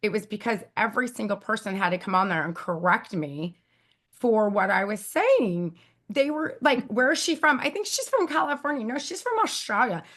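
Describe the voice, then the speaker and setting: A woman with a raspy, squeaky voice, chatting casually as an interview guest and giving advice with lots of fillers and emphatic repeats. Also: lively intonation, moderate pace, fairly bright tone. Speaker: guest YouTube expert.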